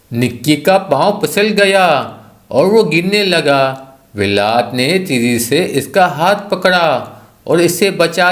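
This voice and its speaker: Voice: mid-range voice, a bit bright. A storyteller reading scripted narration for a children's tale.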